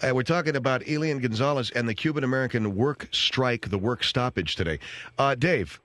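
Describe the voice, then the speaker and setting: A man's voice: medium pitch, a little fast, a bit bright, slight background noise. A man hosting a radio call-in show, probing callers with questions. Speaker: radio talk show host.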